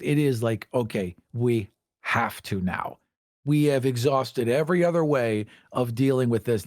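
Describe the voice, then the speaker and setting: A man's voice: medium pitch, a little mellow, dry recording, clean studio sound. Male panelist, average register, conversational game talk, recorded with no room sound. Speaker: podcast panelist. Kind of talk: casual game discussion.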